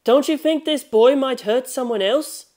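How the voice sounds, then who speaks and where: A narrator voicing an earnest, anxious teenage schoolboy in an audiobook mystery, speaking deliberately. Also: high-pitched, a little mellow, voice pushed up, close to an everyday voice. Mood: grave, worried.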